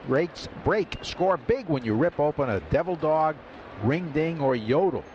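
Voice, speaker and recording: A man's voice: on the high side, expressive, measured pace, dull audio. A man doing radio play-by-play for a baseball game, easygoing and chuckling as he calls the action. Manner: easygoing, chuckles while calling plays. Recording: radio broadcast with crowd noise behind.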